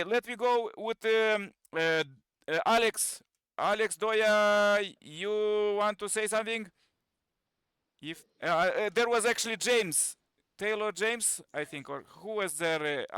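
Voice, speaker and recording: A man with a bright, very clear voice hosts an online meeting in non-native English. His phrasing is halting and broken, with repeats, and he speaks in a high register. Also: no room sound. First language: not English. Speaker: presenter and host of an online peace training. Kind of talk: informal moderating of an online meeting. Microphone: video call microphone.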